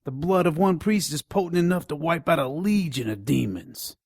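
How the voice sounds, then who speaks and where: A man's voice: a little high, animated, moderate pace, creaky, husky, dry recording, studio quality, full character voice. A man playing a crude, taunting nonhuman pawn shop dealer in an audio drama. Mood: scornful, sly. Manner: taunting, contemptuous.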